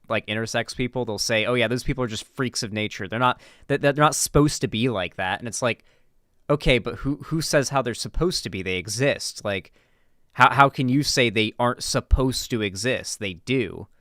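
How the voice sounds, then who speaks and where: A man's voice: medium pitch, a little fast, bright, forceful tone, no room sound, clean studio sound. A man giving casual, unscripted commentary as a streamer, informal and emphatic, cursing and often restarting mid-thought.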